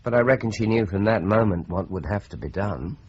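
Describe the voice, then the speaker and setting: Low, measured detective, played by a man, animated phrasing, muffled radio-play sound. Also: slight background noise, close to an everyday voice. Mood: explaining. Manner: measured and explanatory. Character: calm, measured senior male detective.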